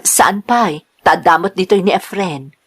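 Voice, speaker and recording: A woman's voice: fairly low pitch, a little slow, breathy edge. A woman playing a devoted, trusting mother in an Ilocano radio drama, earnest and reassuring. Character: devoted, trusting mother in love, working away from home.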